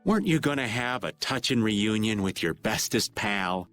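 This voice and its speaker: An actor playing an easygoing, teasing, world-weary drifter with a folksy, slangy way of talking in a video game. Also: male voice, fairly low pitch, expressive, normal speed, a bit bright, faint music behind.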